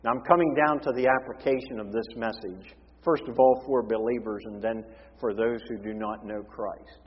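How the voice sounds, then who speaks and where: A male pastor preaches a sermon with his voice going hoarse and husky. He shifts between forceful emphasis and hushed, quiet passages. The sound is dull and lo-fi, with a long reverb from the sanctuary around him. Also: medium pitch, steady tone, moderate pace.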